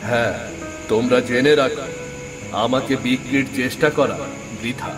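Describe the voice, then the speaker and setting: A man's voice: medium pitch, steady tone, moderate pace, lightly acted, poor audio. Solemn magical swan voiced by a man, music behind. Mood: solemn, reassuring. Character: calm magical talking swan with solemn authority. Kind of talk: children's moral-story cartoon acting.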